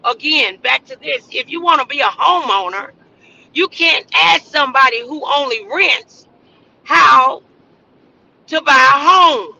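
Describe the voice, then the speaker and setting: Very high-pitched, bright-voiced man preaching motivation. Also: expressive, unhurried, gravelly, lo-fi sound. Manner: exhorting, repeats phrases for emphasis, rhetorical questions. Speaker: motivational show host.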